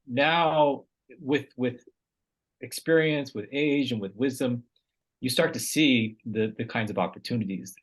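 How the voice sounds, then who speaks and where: A man's voice: mid-range voice, poor audio. A man from Vietnam, a researcher guest in a casual interview, speaking thoughtfully and often repeating words as he searches for the right phrasing.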